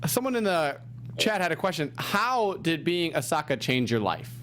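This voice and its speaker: A man's voice: on the high side, sing-song, moderate pace, a bit bright, a little hiss or hum. A man hosting an interview, asking long, rambling reflective questions. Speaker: interview host.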